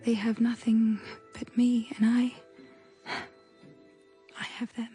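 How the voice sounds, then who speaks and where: A young governess in a gothic audio drama, confiding her fears slowly and haltingly. Her voice is hushed and breathy, fiercely protective, with music clearly playing underneath. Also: on the high side, little pitch movement. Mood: desperate devotion.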